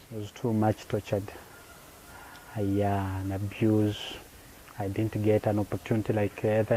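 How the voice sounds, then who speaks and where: A young Ugandan-accented man giving an unscripted personal testimony in an earnest, halting way with simple, repeated phrasing. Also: fairly low pitch, mellow, a little hiss or hum, low-quality recording. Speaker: interviewee sharing personal faith testimony.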